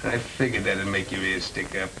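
An actor playing a shifty, slangy small-time crook in 1930s film style, with an American accent. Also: male voice, sits low, steady tone, moderate pace, background noise. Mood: sly, wheedling. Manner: slangy tough-guy talk. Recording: old 1930s film soundtrack, background murmur.

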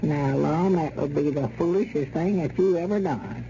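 A man's voice: fairly high pitch, moderate pace, creaky, mellow. An actor playing a dim-witted, kindly old backwoods man with a rural Southern American accent, folksy and befuddled, in an old radio comedy. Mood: worried, pleading. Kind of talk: 1930s-40s radio comedy acting. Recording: dull, noisy old radio recording.

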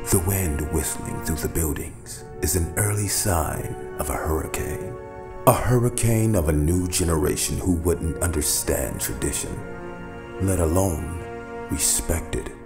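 An actor playing a sinister older back-alley surgeon in a cyberpunk radio drama, speaking formally and deliberately with courtly menace. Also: male voice, low-pitched. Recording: roomy echo with music behind.

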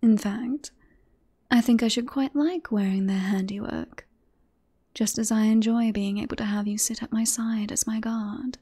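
A teasing, self-assured queen, played by a woman in a romantic fantasy audio roleplay. Her voice sits a little high, yet it is mellow and husky with breath. She flirts in formal, courtly phrases. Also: steady tone, normal speed. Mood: earnest, tender.

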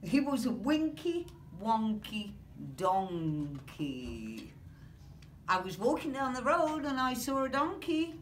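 An older British woman reads a storybook aloud in a high, unhurried voice with lots of swing in it. Her delivery is rhythmic and sing-song. She is recorded from a distance in a room with some noise. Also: huge pitch swings. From Britain. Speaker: grandmother reading aloud to children. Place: small carpeted classroom or office, indoors. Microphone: camera or phone a few metres away.

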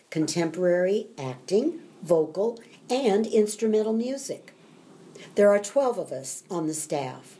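A woman's voice: fairly low pitch, mellow. An older woman, an acting teacher, reading a scripted first-person narration. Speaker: acting and tap dance teacher.

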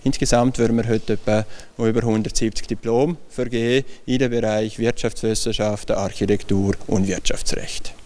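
A man, a university head giving a news interview, pausing often with fillers. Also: on the low side, fairly even intonation, a little mellow. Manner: hesitant, many fillers. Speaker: university rector. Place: large bright hall with tables. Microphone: handheld microphone held near the speaker.

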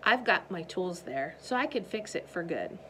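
A gentle, helpful young boy, voiced by a woman narrator, speaking in an easy, accommodating way. His lines move with a lively lilt. A plain storybook reading. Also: lowish voice, normal speed, slight background noise.

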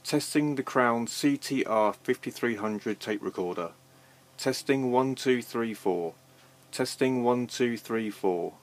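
A man reads a scripted test announcement in a measured, deliberate way, as a demonstrator of vintage equipment. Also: mid-range voice.

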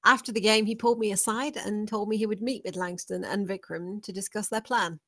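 A woman playing a composed, guarded schemer in a script table read, with a calm, controlled delivery. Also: mid-range voice, brisk, no echo, clean studio sound.

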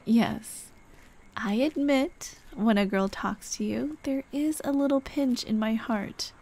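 A woman playing a tender, playful late girlfriend in an ASMR roleplay, speaking in a gentle, coaxing, teasing way. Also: on the high side, slow. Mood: wistful, gentle. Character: tender, playful dead girlfriend comforting her grieving partner. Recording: clear ambient noise behind. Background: ambient sound effects.

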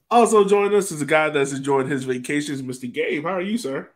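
A man's voice: on the high side, fairly quick. A man in his thirties hosting a casual sports podcast chat over a video call. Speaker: podcast host. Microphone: webcam video call.

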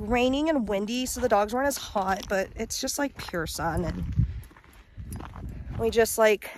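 A woman's voice: medium pitch, noisy background. A young woman vlogging while hiking, talking into a phone at arm's length in a rambling, casual way, worn out from the day. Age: young adult. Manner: chatty, rambling with many likes and ums. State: tired and worn out. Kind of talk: casual travel vlog chat. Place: outdoors on a mountain ridge. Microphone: phone held at arm's length.

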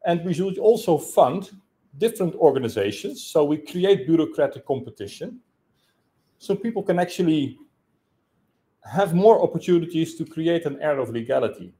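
A man in his thirties stands in a conference room and puts a long question to the speaker. His voice is loud, slightly high and mellow, and he hesitates often while gesturing. A room camera captures him without a handheld mic. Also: lively intonation, normal speed. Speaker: audience member asking a question. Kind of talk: spontaneous question from the floor.

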